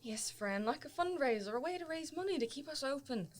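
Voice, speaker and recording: A woman's voice: sits high, lively intonation, a little fast. An amateur actress playing an earnest, determined young woman who organises a community centre, in a radio play.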